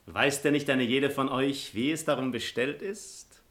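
An actor playing a glib, ardent noble seducer in a theatrical 1950s German radio drama. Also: male voice, medium pitch, a little slow, fairly bright tone, natural voice. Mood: evasive, glib. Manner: theatrical, wooing, flowery speech.

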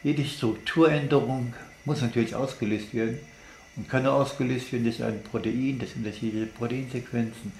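Gravelly man explaining in an echoey room. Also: medium pitch, steady tone, darkish tone. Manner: halting, deliberate, thinking aloud. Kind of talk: unscripted explanatory monologue. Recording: echoey room with background noise.

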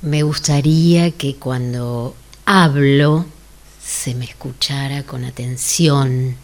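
A woman acting a weary, reluctant woman in a radio play. Her voice is very low and she takes her words slowly. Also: steady tone, full character voice. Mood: weary, exasperated. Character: weary, reluctant woman refusing to repeat herself. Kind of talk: radio drama workshop exercise.

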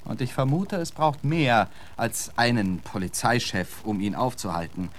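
Casting: pompous, witty gentleman poet for radio drama, ornate sarcasm, big swings in pitch, slight background noise. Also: sing-song, a little slow. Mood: indignant. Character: eloquent, indignant gentleman poet, witty and haughty. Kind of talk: German radio drama acting.

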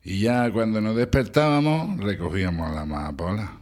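A drowsy, easygoing junkie drifter, played by a man drawls out his story. The voice is fairly low and unhurried. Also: darkish tone, close to an everyday voice. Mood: reminiscing.

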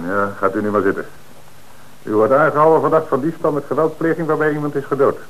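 An actor playing a police inspector who questions a suspect in a measured, dryly ironic way in a radio play. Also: male voice, a little high, lo-fi sound, lightly acted. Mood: accusing. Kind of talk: radio drama acting.

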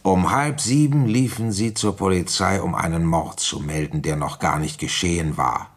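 An actor playing a weary, shrewd provincial policeman recounting an old case in a radio play, deliberate, conversational and wry. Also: deep voice. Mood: calm, knowing. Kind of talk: German radio play, first-person narration and dialogue.

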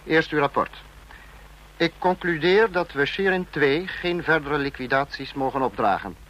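An actor playing a cold, authoritarian state leader in an old radio play, speaking in a clipped, lecturing, imperious manner. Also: male voice, on the high side, normal speed, a bit bright, natural voice, poor audio. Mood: curt, commanding. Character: cold authoritarian male supreme leader of a fictional police state.

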